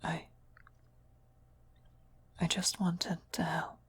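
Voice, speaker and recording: A woman's voice: lowish voice, little pitch movement, very slow, fairly bright tone, husky, exaggerated voice. A woman playing a fawning female cultist devoted to her demon queen, deferential and half-whispering, in an ASMR roleplay. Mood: meek, eager.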